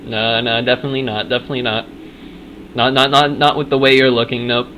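Bright, forceful male gaming commentator. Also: medium pitch, flat delivery, fast, a little hiss or hum, poor audio. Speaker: gaming video host. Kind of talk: casual gameplay commentary.

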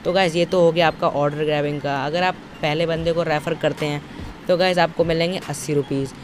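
A man's voice: a little high, steady tone, brisk. A young man giving an informal, step-by-step tutorial voice-over with repetitive, instructional phrasing. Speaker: tutorial presenter. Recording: noisy, outdoor traffic-like background.

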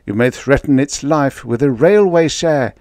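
A man's voice: expressive, unhurried, a little mellow, no echo, close to an everyday voice. A man voicing a mild, timid baker in a verse reading, in a raised, quavering, pleading voice. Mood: plaintive. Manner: high, quavering, pleading, fretful. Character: timid, tearful middle-aged male baker.

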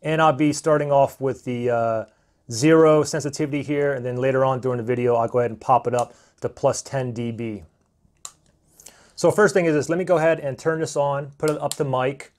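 A man in his thirties talking through a camera microphone test step by step, in a relaxed way with fillers, recorded indoors on a camera-mounted shotgun mic. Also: mid-range voice. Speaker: gear reviewer. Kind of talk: casual how-to walkthrough. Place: indoor room, desk demo.

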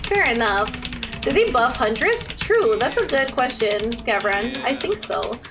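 A woman's voice: on the high side, measured pace. A young woman chatting playfully on a game livestream, reading out viewer messages with dramatic, gushing affection. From Ontario, Canada. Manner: animated and playful, with dramatic outbursts and gushing endearments. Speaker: live streamer. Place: indoor room at a streaming setup.

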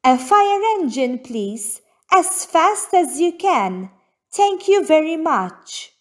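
A woman's voice: sits high, big pitch swings, slow pace, voice pushed up, heavily acted. Woman reading as polite, firm farm mother. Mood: polite urgency. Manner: polite, instructing.

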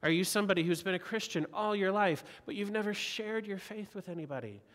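A man's voice: high-pitched, fast, a bit bright. A man in his forties preaching a sermon from the pulpit, earnest and exhorting, with repeated rhetorical questions. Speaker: pastor. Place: large church sanctuary. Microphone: pulpit mic. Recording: long reverb of a church hall.

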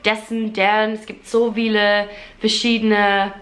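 A young Englishwoman sits on a sofa and talks to a close camera in German, which is not her first language. She speaks slowly, at a middling pitch, with an English accent. She keeps breaking into fillers and self-corrections in a chatty, self-mocking way. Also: little pitch movement, a bit bright, a little hiss or hum. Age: young adult. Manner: chatty, self-deprecating, many fillers and restarts. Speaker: vlogger. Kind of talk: casual Q&A chat in German as a learner. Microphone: camera at close range.

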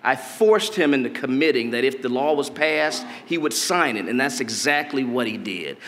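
A middle-aged man from Detroit, a political candidate delivering a campaign speech at a podium in a convention hall, deliberate and measured with emphatic rises. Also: medium pitch, expressive, a bit bright. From Detroit, Michigan. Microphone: podium mic. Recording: long hall reverb.

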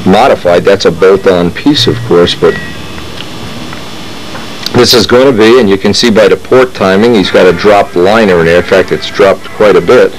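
A man's voice: deep voice, moderate pace, low-quality recording. A man hosting a model airplane channel who talks casually and rambles through his workshop while showing parts, often stopping to restart his sentences. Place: home workshop.

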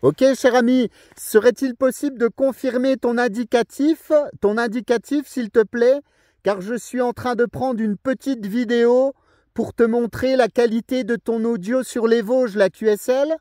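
A man's voice: very high voice, steady tone, normal speed, dark tone, no echo, studio quality. A man making a casual radio contact outdoors, speaking courteously as an amateur operator in radio jargon. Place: outdoors in a forest clearing.

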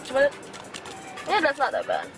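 A woman's voice: high-pitched, huge pitch swings, normal speed, vocal fry, music in the background. A young woman chatting casually as a vlogger, recorded on a handheld camera inside a car. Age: young adult. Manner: chatty, informal, slips between Indonesian and English. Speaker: beauty vlogger. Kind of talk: casual vlog chat. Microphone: handheld camera at arm's length.

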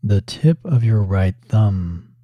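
A man leading a guided yoga relaxation as the teacher, speaking calmly in short, measured phrases with long pauses between them. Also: fairly low pitch, dry recording, highs cut off. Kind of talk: slow guided relaxation instruction.

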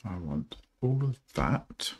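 A middle-aged man with a low, gravelly voice, still rough from just getting up. He talks at an unhurried pace, halting often with ums as he thinks aloud through his work. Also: very animated. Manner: slow, halting, with many ums. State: rough morning voice. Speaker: programmer streaming a coding session. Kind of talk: thinking aloud while coding.

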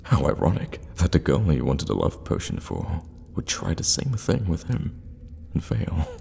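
A man playing an evil, sadistic warlock in an ASMR fantasy roleplay, teasing and menacing, often slipping into a breathy whisper. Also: sits low, big pitch swings, a little hiss or hum, full character voice. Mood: mocking, sadistic. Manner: slow, teasing, drawn-out hums and dark chuckles.

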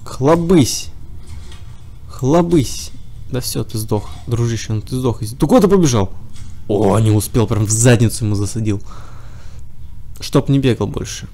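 A man's voice: medium pitch, moderate pace, long pauses, warm tone. A man with a cold giving casual running commentary while playing a game, chatting to himself and to the enemies on screen. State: has a cold. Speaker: let's play gamer.

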